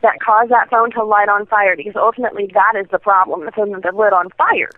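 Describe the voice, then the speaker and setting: A woman's voice: mid-range voice, brisk, a crowd in the background. A woman on a telephone line, an earnest, talkative customer care supervisor speaking in a reassuring way. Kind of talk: customer service phone call.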